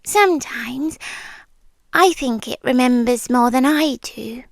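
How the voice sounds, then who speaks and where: High, slow, whispery British yandere girlfriend, acted by a woman. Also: no room sound, heavily acted. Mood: softly eerie. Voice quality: soft, breathy, often dropping into whisper. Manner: slow, deliberate, lingering pauses. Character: possessive, unsettling yandere demi-human girlfriend, tender yet menacing. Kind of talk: ASMR roleplay, slow intimate delivery. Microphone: close microphone, dry.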